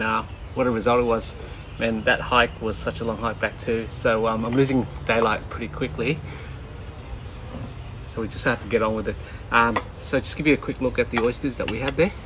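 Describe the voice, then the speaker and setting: A man in his forties talking casually while he forages and cooks outdoors, relaxed and chatty with plenty of ums, recorded on a camera mic. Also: mid-range voice, a little fast. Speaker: cooking vlogger. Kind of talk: casual cooking commentary. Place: outdoor garden kitchen and rocky bay shore. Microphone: camera mic at medium distance. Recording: outdoor camera audio with loud ambient noise.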